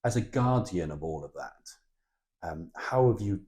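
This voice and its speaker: Low-voiced admiring male interviewer. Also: expressive, a little slow, mellow. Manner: admiring, encouraging, with many short affirmations. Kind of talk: interview questions.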